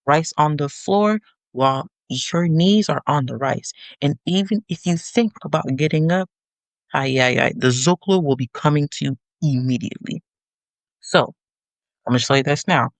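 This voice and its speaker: A young adult woman hosting a podcast, giving a sassy, animated monologue with teasing asides to her listeners. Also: low voice, normal speed, dry recording. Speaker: podcast host.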